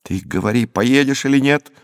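A man's voice: medium pitch, moderate pace, no room sound, clean studio sound, voice pushed up, natural voice. A man voicing a bold, proud schoolboy adventurer in a storybook, speaking in a stern, demanding and declamatory way. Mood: angry demanding.

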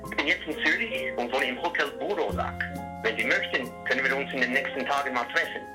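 An actor playing a polite, businesslike man leaving a brisk answering-machine message in a radio drama. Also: mid-range voice, over music, poor audio.

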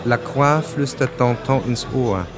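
A man narrates a radio play from a script. His voice is mid-pitched, measured and precise, with music clearly playing beneath it. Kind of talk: scripted radio drama narration in present tense. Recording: music behind the voice.

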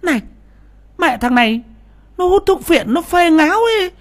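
A shrill gossiping villager. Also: male voice, lively intonation, normal speed.

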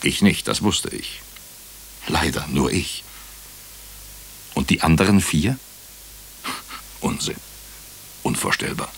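An actor playing a brooding hospital doctor who narrates a radio crime drama in clipped, deliberate phrases. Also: male voice, rumbling low, very animated, vocal fry, fairly bright tone, slight background noise, full character voice. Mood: doubting, pensive. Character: brooding male hospital doctor recounting a murder case. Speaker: actor narrating as the lead character.

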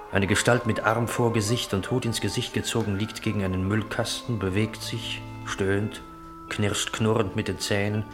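A man narrating a radio play, reading literary scene descriptions in a measured way. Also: sits low. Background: music.

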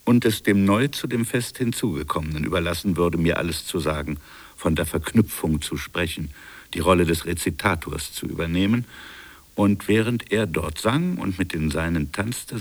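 An actor playing a grave colonial official, recounting a buried crime in a measured, solemn literary radio drama monologue. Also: male voice, low voice.

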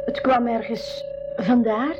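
A woman's voice: on the high side, steady tone, slow, dull audio. A woman playing an earnest young refugee girl in a school radio drama. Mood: earnest, certain. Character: Ximing, earnest young refugee girl searching for her family. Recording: band-limited radio drama, music behind.

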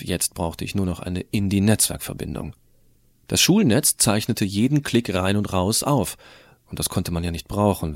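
Man as low-voiced teenage student narrator, dry sound. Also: gravelly, darkish tone, dry recording. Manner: explanatory, colloquial teenage storytelling. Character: tech-savvy rebellious male high school student telling his story. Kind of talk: scripted audio drama narration.

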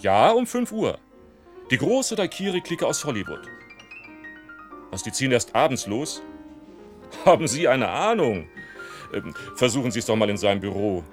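A chatty bartender, played by a male actor in a radio drama, with music behind him. His mid-pitched voice moves up and down freely, polite, obliging and slyly wry. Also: very animated, moderate pace, long pauses, close to an everyday voice. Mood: wry, evasive. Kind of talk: German radio play acting.

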